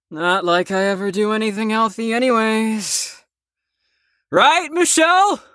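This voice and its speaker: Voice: mid-range voice, slow pace, sharp tone, no echo, studio quality. An actor in an audio drama playing a stubborn, sarcastic young patient who is worn out from lack of sleep. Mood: manic, boastful. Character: flippant, exhausted, stubborn young patient called Storm.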